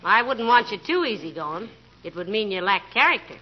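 An actress playing a patient, gently teasing middle-aged wife with homey sayings in 1940s radio comedy style. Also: female voice, medium pitch, brisk. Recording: muffled old radio recording with steady hiss.

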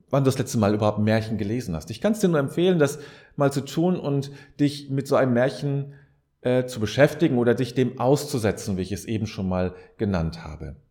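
A man's voice: mid-range voice, warm tone. A man speaking in a reflective, explanatory podcast monologue, searching for his words and correcting himself as he addresses the listener. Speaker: podcast host.

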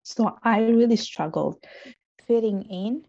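A woman from Italy talking as a podcast guest, reflective and halting, with many fillers. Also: a little high, dark tone, no echo. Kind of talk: casual interview talk. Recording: dull lo-fi audio.

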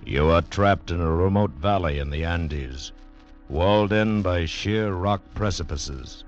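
A man's voice: sits low, measured pace, over music. A man announcing a 1940s radio drama with ominous, dramatic scripted delivery. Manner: ominous, dramatic second-person address. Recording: dull old radio recording.

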